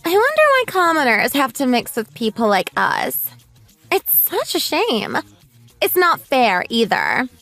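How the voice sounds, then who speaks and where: A woman playing a haughty rich snob, high and swooping. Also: huge pitch swings, measured pace, vocal fry, fairly bright tone, no echo, soft background music, heavily acted. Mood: disdainful. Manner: haughty, sneering, exaggerated. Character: snobbish, bullying rich neighbour mother, haughty and scornful. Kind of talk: radio drama dialogue.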